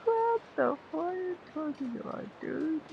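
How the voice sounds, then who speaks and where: A game streamer giving casual, slangy live commentary in halting, muttered reactions. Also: very high voice, lively intonation, vocal fry, dull audio, slight background noise. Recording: loud game music and effects behind.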